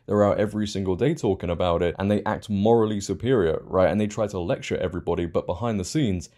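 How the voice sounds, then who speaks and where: A man's voice: sits low, fast, warm tone. A man hosting online news commentary, speaking in an opinionated, emphatic way and repeating key words.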